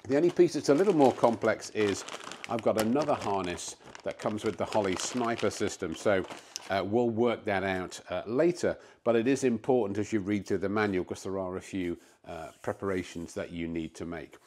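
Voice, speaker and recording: A man in his fifties hosting a DIY vlog from his garage, explaining things casually with frequent pauses on a lapel mic. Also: fairly low pitch, darkish tone. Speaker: host of a DIY car-building vlog. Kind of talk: casual instructional talk to camera. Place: home garage. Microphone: clip-on lapel mic.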